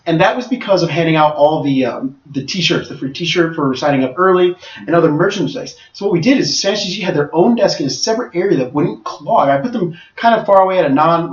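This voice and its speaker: A man co-hosting a casual podcast as a tournament organizer, giving announcements and explanations in an upbeat way with frequent fillers. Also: fairly high pitch. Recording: roomy, dull, distant mic.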